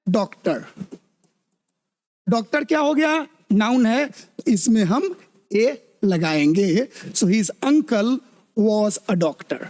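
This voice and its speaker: Very slow-talking male teacher in a reverberant room. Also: high voice, animated, a little mellow. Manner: slow, drawn-out words, constantly checks understanding with questions. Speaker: English teacher. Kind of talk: spoken English lesson explained in Hindi.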